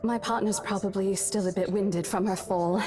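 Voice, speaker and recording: A woman's voice: medium pitch, fairly even intonation, normal speed, a loud crowd, lightly acted. A woman playing a polite, composed estate agent in a visual novel, speaking tactfully and professionally. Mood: apologetic, composed. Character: polite, composed young female estate agent smoothing things over.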